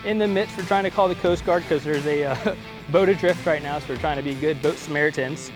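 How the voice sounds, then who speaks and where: A man's voice: a little high, fairly quick. A man hosting a casual fishing vlog outdoors on a boat. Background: music and boat sounds.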